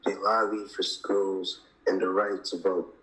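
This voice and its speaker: Lowish, even-toned man reading aloud. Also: measured pace, rough recording. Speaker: reader of a children's picture book. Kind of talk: read-aloud storytelling.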